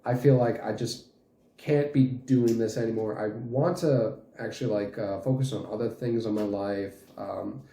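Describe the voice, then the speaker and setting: A man in his late twenties giving a casual channel update in a halting, rambling way. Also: fairly low pitch, steady tone, creaky, dark tone. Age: late 20s. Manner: halting, rambling, frequent chuckles mid-sentence. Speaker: channel host and fan dub group leader. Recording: roomy echo, faint music behind.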